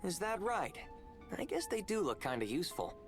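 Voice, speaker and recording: A man's voice: high-pitched, big pitch swings, normal speed, faint music behind. A man voicing an easygoing, curious young hero in a video game, with a casual, questioning manner. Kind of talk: scripted video game voice acting.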